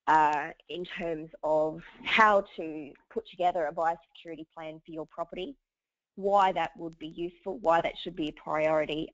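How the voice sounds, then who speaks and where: A woman, hoarse from a cold, hosting a webinar and running through housekeeping in a chatty way with many fillers. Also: lowish voice, no echo. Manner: chatty, lots of uhs. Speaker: webinar host. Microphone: computer mic over a webinar line. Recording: dull dry webinar audio.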